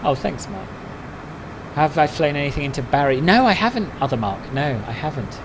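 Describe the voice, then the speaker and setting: A man's voice: a little high, very animated, normal speed, noisy background. A man chatting casually on a live stream, answering viewers' messages one by one. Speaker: flight sim streamer.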